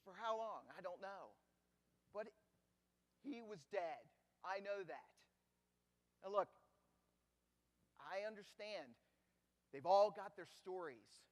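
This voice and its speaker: A man performing a dramatic church monologue as a grieving, doubting disciple, halting and stammering, swinging from hushed to loud. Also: sits high, expressive, in a large hall. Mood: bewildered. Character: grieving doubting male disciple, middle-aged, earnest. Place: church hall.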